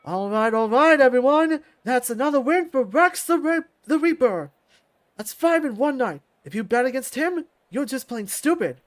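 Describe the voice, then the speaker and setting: A man's voice: extremely high pitch, animated, normal speed, voice pushed up. A man voicing a sleazy, brash fight ring announcer who hypes up the bets in a visual novel story. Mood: hyped. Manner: brash showman hype.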